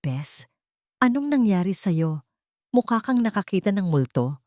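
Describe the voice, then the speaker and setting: A woman's voice: medium pitch, big pitch swings, a little slow, a little mellow, dry recording. A woman storyteller puts on a protective female friend in an audio drama. She is anxious and urgent, full of concern. The recording is very dry.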